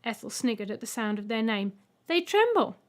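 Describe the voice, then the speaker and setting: A woman reading a scripted short story as an audiobook narrator, with expressive storytelling. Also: medium pitch, quick pace.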